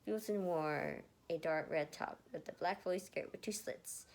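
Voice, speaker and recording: A woman's voice: lowish voice, moderate pace, darkish tone. A woman reading fan fiction aloud in an amateur way, stumbling over words and rereading them, with casual asides.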